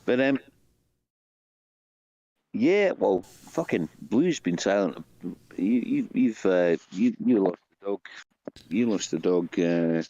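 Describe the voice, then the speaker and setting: Brief: deep-voiced man, slow and halting, dry and dull lo-fi mic, casual stream guest. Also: lively intonation, mellow, no room sound. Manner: halting, hesitant, swears. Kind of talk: casual chat.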